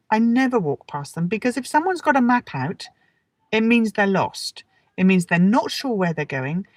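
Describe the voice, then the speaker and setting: A middle-aged woman with an English accent teaching in a relaxed, chatty and encouraging way, speaking directly to her listeners. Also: medium pitch. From England. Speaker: English teacher hosting a live lesson. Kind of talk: relaxed, chatty livestream talk with personal anecdotes. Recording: roomy echo, distant from the mic.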